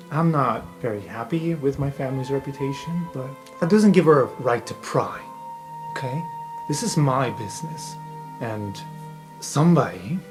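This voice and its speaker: A man acts out a young male dark elf student in a tabletop roleplay. He is sullen and guarded, with a sarcastic, defensive edge, and music plays clearly behind him. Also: mid-range voice, lightly acted, poor audio. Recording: music under the voice.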